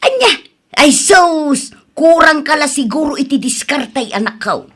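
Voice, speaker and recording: Comedy drama: nagging, theatrical older mom, acted by a woman, Ilocano accent, high voice, drawn-out delivery. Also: animated, a bit bright. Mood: exasperated coaxing. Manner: exclamatory, teasing, theatrical. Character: nagging, meddling, dramatic older mother of grown son.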